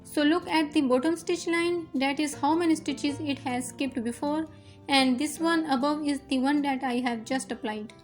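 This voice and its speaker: A woman's voice: sits high, moderate pace, faint music behind. A young woman whose first language is Urdu, patiently talking through a sewing machine fix step by step as host of her tutorial channel. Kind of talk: instructional voice-over.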